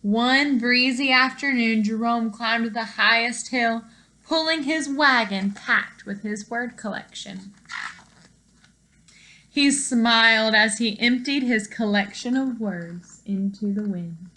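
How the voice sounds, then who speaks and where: A woman reading a picture book aloud to children in an expressive, teacherly way, pausing to explain words and put questions to young listeners. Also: fairly high pitch, low-quality recording.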